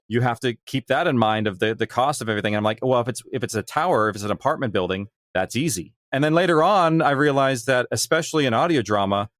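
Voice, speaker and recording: A man's voice: medium pitch, no echo. A man being interviewed as a guest, talking conversationally about his creative work. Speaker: guest, audio drama creator.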